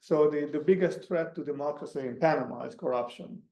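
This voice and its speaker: A man whose first language is Hungarian, a guest speaker answering audience questions in an academic talk, halting with many restarts. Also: on the high side, dark tone. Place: lecture room. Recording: echoey lecture room.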